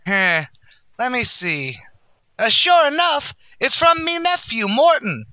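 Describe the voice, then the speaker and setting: A man's voice: very high-pitched, sing-song, slow pace, bright, forceful tone, no room sound, full character voice. An actor playing a brash, boastful male tavern manager, in a wisecracking 1940s radio comedy style. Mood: chatty.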